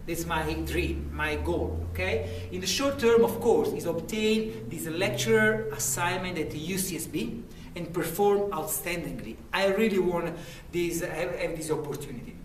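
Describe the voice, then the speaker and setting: A man with a thick Italian accent gives an earnest, self-promoting sample lecture, often restarting his phrases. His voice sits a bit high. The recording is roomy and echoey, picked up from some distance in a university room. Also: slight background noise. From Italy. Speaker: lecturer applicant.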